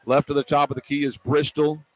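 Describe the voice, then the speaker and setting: Higher-pitched man calling basketball on radio. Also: brisk, low-quality recording. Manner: clipped, descriptive, rising to excited calls. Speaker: play-by-play announcer. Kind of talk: live radio basketball commentary. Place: broadcast position at an indoor arena.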